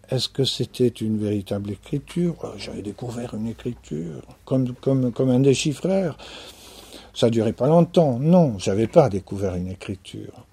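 Male anthropologist from France, husky, unhurried interview answers, repeats phrases for weight. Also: mid-range voice, mellow. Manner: thoughtful, pauses and repeats key phrases.

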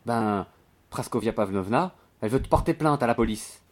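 A man's voice: medium pitch, animated, moderate pace, close to an everyday voice. A man voicing a gossipy, earthy, blunt servant woman from the country, with slangy grammar, in a novel reading.

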